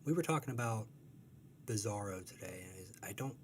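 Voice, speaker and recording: A man's voice: lowish voice, lively intonation, moderate pace, a little hiss or hum. A man who is a cartoonist, talking casually in an interview, rambling and correcting himself, laughing easily. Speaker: cartoonist guest.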